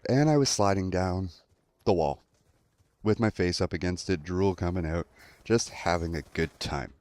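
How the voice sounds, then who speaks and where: A man's voice: sits low, normal speed, darkish tone, no room sound. A young adult man telling a story in a casual commentary style, acting out quotes as he goes. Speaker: online storyteller.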